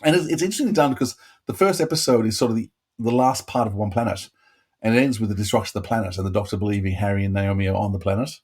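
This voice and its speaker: A man's voice: on the low side, very fast, a little mellow, husky. A man co-hosting a podcast, giving casual reviews in a rambling way, often interrupting himself. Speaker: podcast co-host. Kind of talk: casual chat, reviewing audio dramas.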